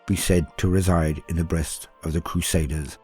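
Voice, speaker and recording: A man's voice: low-pitched, fairly even intonation, normal speed, a little mellow, husky, faint music behind. A middle-aged man narrating scripted lore in a grandiose, theatrical storyteller's manner. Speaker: lore channel host.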